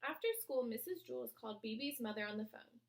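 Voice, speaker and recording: A woman narrating a children's story aloud in an expressive read-aloud style. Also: a little high, a little fast, vocal fry. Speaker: storybook reader.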